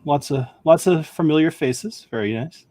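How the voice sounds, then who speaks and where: A man hosting a casual livestream chat from his home basement over a video call, with a loud voice and frequent laughter. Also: a little high, moderate pace, no echo. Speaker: livestream host.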